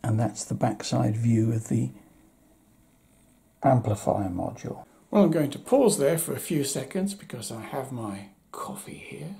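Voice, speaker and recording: A man in his seventies giving a casual, unscripted review with wry understatement, in a husky voice. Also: mid-range voice, animated, a little slow, warm tone. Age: 70s. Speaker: audio equipment reviewer. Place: indoor room at home.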